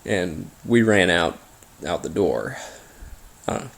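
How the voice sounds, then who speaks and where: An American man telling a first-person paranormal story in an informal, halting way, pausing between short phrases. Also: deep voice, animated, gravelly, a bit bright. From Appalachian region of the United States. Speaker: host and narrator of a paranormal story series.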